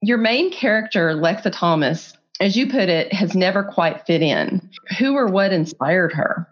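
A woman interviewer asks short, friendly questions. Her voice is on the lower side and comes through muffled and lo-fi. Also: lively intonation, unhurried. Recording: dull lo-fi sound.

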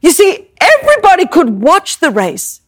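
Lively high-pitched woman preaching. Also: normal speed, no echo, clean studio sound. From Greek Orthodox family background. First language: Greek. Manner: emphatic, repeats words, rhetorical questions. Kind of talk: sermon.

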